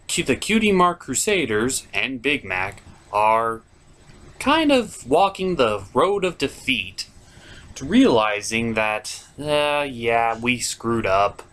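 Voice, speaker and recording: A man's voice: medium pitch, a bit bright. A man hosting a cartoon review, giving casual, sarcastic and sometimes crude commentary. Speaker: cartoon review show host.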